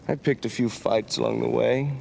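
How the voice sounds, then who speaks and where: A gravelly, wistful young adventurer. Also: male voice, medium pitch, fairly even intonation, normal speed, a little mellow, slight background noise, rough recording. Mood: yearning. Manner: reflective, wistful storytelling. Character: restless romantic young male adventurer. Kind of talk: 1990s video game voice acting.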